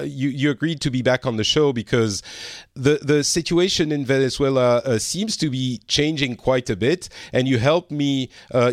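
A man in his thirties hosting a podcast, his mid-pitched voice recorded close and dry with no room sound. He talks casually and haltingly, stopping and restarting often with plenty of fillers. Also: no echo. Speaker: podcast host. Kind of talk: casual interview chat.

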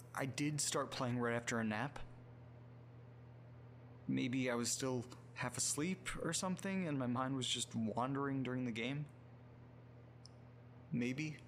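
A man playing a muddled, self-deprecating guy muttering sweary, halting asides to himself in a horror audio drama. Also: mid-range voice, vocal fry, fairly bright tone. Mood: puzzled. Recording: noticeable background noise with hum.

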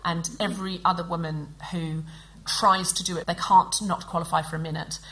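A woman's voice: fairly low pitch, flat delivery, moderate pace, fairly bright tone, low-quality recording. A woman hosting a comedy podcast before a live audience, chatty and self-mocking.

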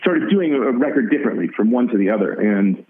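A man with a raspy voice, talking as a musician guest in a casual interview, halting and full of restarts. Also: medium pitch, lively intonation, slight background noise. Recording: tinny phone-like line.

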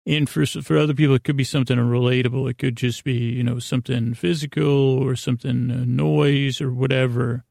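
A man hosting a bedtime podcast in a rambling, halting, goofy and self-deprecating way, with a creaky, gravelly voice. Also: medium pitch, steady tone, darkish tone, no echo, clean studio sound. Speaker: podcast host.

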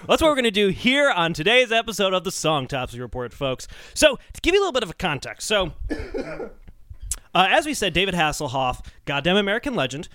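Animated, high-pitched man hosting a podcast. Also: fairly quick, dry recording. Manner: animated, jokey, mock-serious delivery. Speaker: podcast host. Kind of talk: casual comedic podcast chat.

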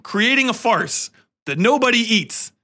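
A man hosting a podcast, giving casual, rambling film commentary in an excitable, emphatic, sweary way. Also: very high voice, measured pace. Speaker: podcast host.

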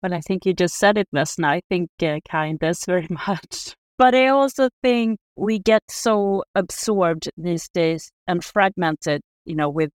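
A middle-aged woman from Sweden, a sustainability researcher, answering interview questions in a soft, measured way with many fillers. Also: on the low side, no room sound.

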